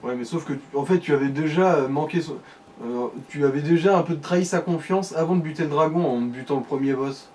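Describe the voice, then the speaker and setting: Slightly high-voiced man explaining a game. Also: brisk, a little mellow. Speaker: let's play co-commentator, guide. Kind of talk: casual game commentary. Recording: distant mic, faint background noise.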